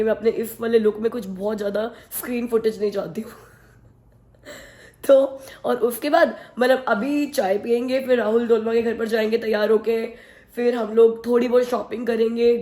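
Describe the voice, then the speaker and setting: A woman from India with a naturally husky voice, hoarse and strained today, chatting casually to camera as a family vlogger and laughing often. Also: on the high side, dark tone, echoey room.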